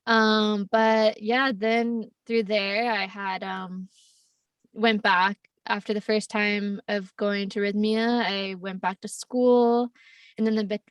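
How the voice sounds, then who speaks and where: A woman's voice: medium pitch, clear, resonant tone, no echo, distant mic, dull audio. A young woman who grew up in Costa Rica, telling her story as a podcast guest, laughing often and rambling with many fillers. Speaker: podcast guest, plant medicine facilitator. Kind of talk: casual interview storytelling.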